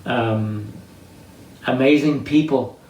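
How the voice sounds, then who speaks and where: An elderly American man tells personal stories at a slow, halting pace, pausing to find his words. He is picked up from a distance in an echoey room, with faint noise behind him. His delivery is reflective. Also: mid-range voice, a little mellow, dull audio. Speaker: interviewee sharing life stories. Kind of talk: reminiscing personal storytelling.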